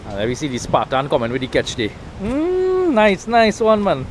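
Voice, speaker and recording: A man's voice: high voice, normal speed, rough recording. Trinidadian man with surf noise behind. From Trinidad and Tobago. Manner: relaxed, chatty, Creole phrasing. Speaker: vlogger. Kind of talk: casual outdoor vlog narration. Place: outdoors by the sea, windy. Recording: wind and surf noise on a camera mic.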